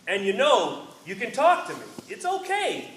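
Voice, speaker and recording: A man's voice: extremely high pitch, huge pitch swings, normal speed, a bit bright, exaggerated voice. A man voicing an easygoing, joking young male runner in a story read aloud, with a casual, wry, understated manner. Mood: friendly, joking. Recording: roomy, distant microphone with faint noise.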